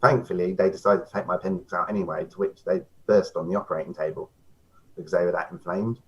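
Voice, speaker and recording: Brief: deep-voiced man, casual guest chat, stop-start, dull lo-fi recording. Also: steady tone. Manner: halting, repeats words when starting. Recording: dull lo-fi sound.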